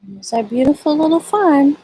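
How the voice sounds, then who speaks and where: A woman with a husky voice hosting a storytime for young children, speaking gently and in simple phrases as she reads and chats outdoors. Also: sits high, animated, normal speed, a little hiss or hum, low-quality recording. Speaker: children's storytime host at a community farm. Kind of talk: storybook read-aloud and casual chat to children. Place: outdoors at a farm.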